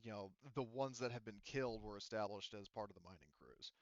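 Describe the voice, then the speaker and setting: A man with a lowish, clear voice acts as game master, improvising explanations for his players. He talks haltingly and circles back to qualify what he says. The recording is dry and a little dull. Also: no echo. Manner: halting, rambling, qualifies himself often.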